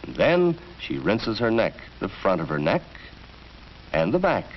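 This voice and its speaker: A man's voice: on the low side, sing-song, measured pace. An American man narrating a 1940s educational film from a script, in an instructive, storytelling manner. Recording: dull vintage film soundtrack, faint noise.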